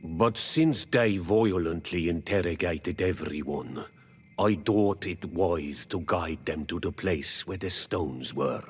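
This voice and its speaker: A man voicing a weary, stoic native village chief in a video game, with a husky voice and a grave, halting delivery. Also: low-pitched, expressive. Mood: resigned, grave. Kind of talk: video game dialogue acting.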